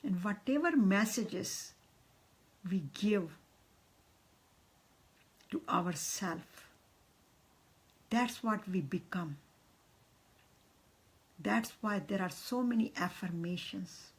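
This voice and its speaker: A woman, leading a guided meditation in a soft, half-whispered voice. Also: medium pitch, animated, very slow, long pauses, slightly rough.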